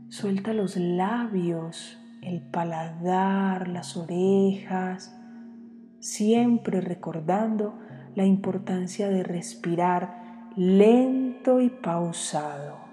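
Slow, mellow woman guiding meditation. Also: on the low side. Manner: calm, soothing, with long pauses between short phrases. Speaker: meditation guide. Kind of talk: guided meditation. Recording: long reverb, music behind. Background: music.

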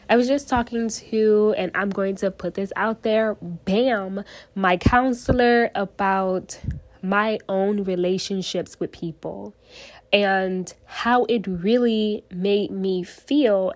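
Slow, nasal woman podcasting by phone. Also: mid-range voice, dry recording. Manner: earnest, deliberate, speaks in short phrases. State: nasal from a cold. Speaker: podcast host. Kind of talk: solo podcast monologue.

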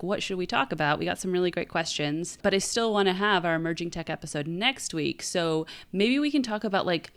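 A woman's voice: mid-range voice, a bit bright, clear, resonant tone. A woman co-hosting a podcast, talking in a casual conversational style. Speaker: podcast co-host.

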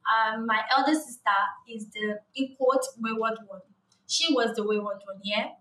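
A woman's voice: a little high, normal speed, bright, forceful tone. A young Nigerian woman with a Yoruba first language and a Nigerian accent, chatting casually and animatedly, laughing often and using many fillers. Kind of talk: casual chat. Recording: roomy sound, distant mic.

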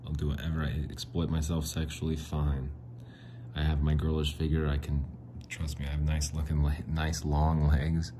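A man hosting a casual solo podcast, rambling and self-mocking, clearing his throat often and answering his own questions. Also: rumbling low, monotone, normal speed, background noise. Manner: frequent throat clearing and restarts. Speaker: podcast host.

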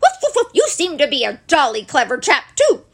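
A woman voicing a boastful, excitable male pet dog in a children's story, punctuating the talk with loud woofs. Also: high-pitched, fairly quick, voice pushed up, heavily acted. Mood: admiring, apologetic.

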